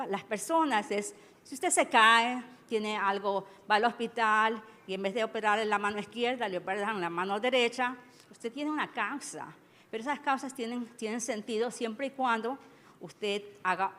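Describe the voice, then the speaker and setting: A woman from Ecuador, a judge, giving a conference talk in a halting manner with many restarts. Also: mid-range voice, fairly bright tone. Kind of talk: conference speech, switching between English and Spanish. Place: large conference hall. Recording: reverberant conference hall.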